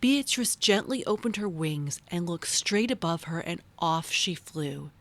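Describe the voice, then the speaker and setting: A woman's voice: fairly low pitch, expressive, unhurried. A woman narrating a storybook for young children as a storyteller.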